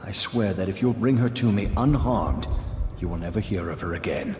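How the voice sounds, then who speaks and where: Man acting secretive nobleman, low voice, lively intonation, smooth, deliberate, insinuating, game dialogue, echoey. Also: a little hiss or hum, natural voice. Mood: conspiratorial. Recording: echoey, loud music behind.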